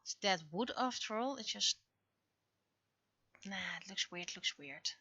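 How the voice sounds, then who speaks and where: A woman's voice: mid-range voice, expressive, measured pace, bright, forceful tone, highs cut off. A woman livestreamer muttering short, casual remarks to herself as she works on digital art. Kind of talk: casual livestream commentary, thinking aloud while drawing.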